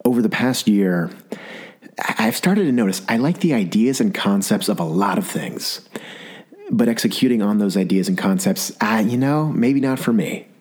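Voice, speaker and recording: Low, raspy-voiced man with a sore throat. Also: normal speed. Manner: rambling, animated storytelling with repeated restarts. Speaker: podcast host. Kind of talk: comedic solo monologue.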